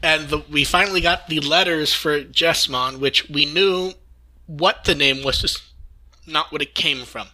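Bright, sharp-voiced man hosting a podcast. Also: a little high, measured pace, rough recording. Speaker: podcast host. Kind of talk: casual news discussion.

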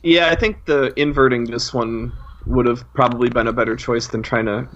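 A man's voice: medium pitch, steady tone, moderate pace, fairly bright tone, lo-fi sound, a little hiss or hum. A man chatting casually as a co-host on a podcast. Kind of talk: casual chat.

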